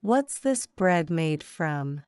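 Clear, low-pitched woman reading drill phrases. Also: very animated, a little mellow, no echo, clean studio sound. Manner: measured, careful enunciation. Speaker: English phrase reader. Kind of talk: slow repeated drill phrases.

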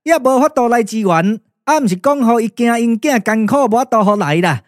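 A man's voice: very high-pitched, expressive, brisk, dry recording, clean studio sound. A man playing a chatty, deferential underling with a Taiwanese accent, hesitant and wheedling, in a glove-puppet radio drama. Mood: deferential, explaining. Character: deferential, chatty male underling reporting to his master.

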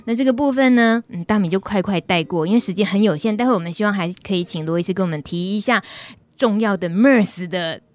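A woman's voice: medium pitch, quick pace, no room sound. Taiwanese woman hosting, dry close sound. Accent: Taiwanese Mandarin. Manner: chatty, addresses listeners directly. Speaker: radio host. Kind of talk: conversational explanation on a live talk show.

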